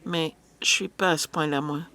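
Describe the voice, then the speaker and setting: A woman's voice: deep voice, fairly even intonation, moderate pace, pushed and bright. A woman interpreting a panel discussion live, hesitant, with frequent filler sounds and restarts.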